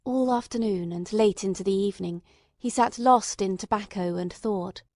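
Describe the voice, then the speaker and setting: A woman's voice: mid-range voice, normal speed, darkish tone, no room sound, studio quality. A woman reading a classic detective story as a scripted audiobook narrator.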